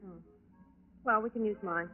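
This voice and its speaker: An actress playing a casual, wry American nightclub singer in a 1940s radio drama. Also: female voice, medium pitch, moderate pace, natural voice. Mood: breezy. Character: breezy, world-weary nightclub singer, a woman. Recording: thin phone-like 1940s radio recording, music behind.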